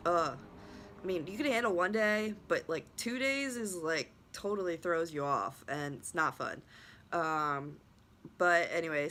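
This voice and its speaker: A young woman with a low-pitched but bright, forward voice, still a little worn from a recent illness. She talks in a loose, rambling way, circling back and restarting her sentences as she tells her story to the camera. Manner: rambling, many restarts and fillers. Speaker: lacrosse goalie trainer. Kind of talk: casual vlog storytelling.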